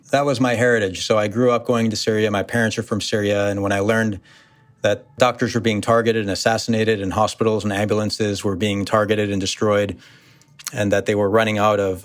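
Low-voiced American man, recorded dry. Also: little pitch movement, normal speed, no room sound. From United States. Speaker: interview guest, surgeon. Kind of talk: reflective personal storytelling in an interview.

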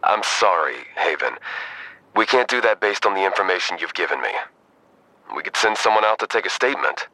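An actor playing a weary, procedural police sergeant, measured and matter-of-fact, in an audio drama. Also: male voice, low voice, animated, sharp tone, heavily acted, rough recording. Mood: politely dismissive. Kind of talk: audio drama acting, phone dialogue.

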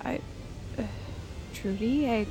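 A woman playing an earnest, flustered young aide in an audio drama, nervous, halting and prone to ramble. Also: a little high, low-quality recording. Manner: nervous, halting, awkwardly chatty. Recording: music underneath.

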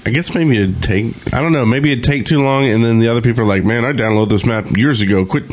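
A man's voice: mid-range voice, fast, slight background noise. A man co-hosting a podcast, talking informally in a casual chat about online gaming. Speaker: podcast host.

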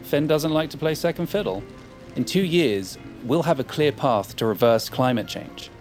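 An actor playing a dry, dismissive futurist in an audio drama. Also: male voice, fairly high pitch, moderate pace, a little mellow, soft background music, lightly acted. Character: smug rival tech futurist man.

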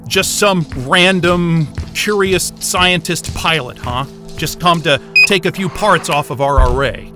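Man playing a high-voiced, excitable, blunt scientist. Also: unhurried, over music, lightly acted. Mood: skeptical, sullen. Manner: sarcastic, blunt, agitated. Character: excitable, foul-mouthed, irritable male astrophysicist at polar station. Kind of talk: naturalistic audio drama acting.

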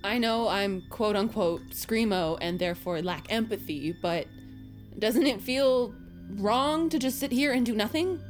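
A woman playing a blunt, exasperated high school girl with a sardonic manner in an audio drama. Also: measured pace, faint music behind, lightly acted. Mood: indignant.

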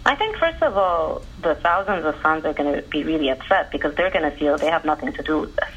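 A woman's voice: low voice, lively intonation, a bit bright, slight background noise. A woman from Egypt, a tennis journalist answering interview questions in an earnest, fluent way. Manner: earnest, fluent, quick to explain. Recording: dull phone-line audio.

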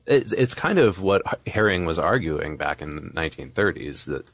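A man's voice: low voice, sing-song, moderate pace, a bit bright, no echo. An American man co-hosting a podcast, explaining things in a casual, conversational way. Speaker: podcast co-host.

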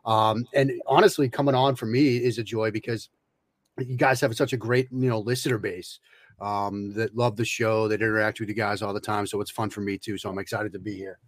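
A man's voice: fairly low pitch, steady tone, brisk, dry recording. A man appearing as a guest analyst on a podcast, explaining things in an analytical way with lots of "you know" and restarts. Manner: analytical, many fillers and restarts. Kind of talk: casual podcast conversation.

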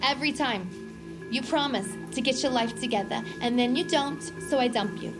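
High-voiced, teasing, bossy actress as a girlfriend. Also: normal speed, music in the background, lightly acted. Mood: exasperated. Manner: teasing, coaxing. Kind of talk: comedic audio drama dialogue.

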